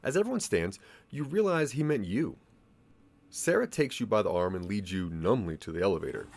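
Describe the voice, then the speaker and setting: A man's voice: lowish voice, animated, a little fast, creaky, a little mellow. A man narrating a scripted audio drama story, addressing the listener as its main character. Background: music.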